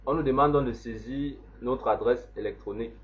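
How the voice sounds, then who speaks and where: A man, slowly walking through a software setup step by step as an instructor. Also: mid-range voice. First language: French. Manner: slow, step-by-step explaining. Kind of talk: screen-recorded software tutorial. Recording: dull lo-fi voice recording.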